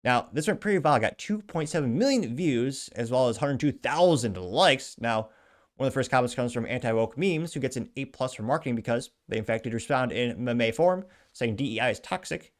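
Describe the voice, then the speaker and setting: A man's voice: mid-range voice, animated, brisk. Male host, casual commentary, reads posts aloud, chatty asides. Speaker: show host.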